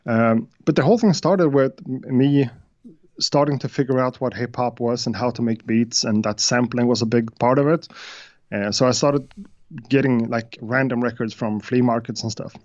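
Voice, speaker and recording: A man from Sweden with a Swedish accent, a guest beatmaker chatting casually in an interview over a video call, often pausing with uh and um. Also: mid-range voice, steady tone, darkish tone, muffled recording. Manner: hesitant, many uhs and restarts.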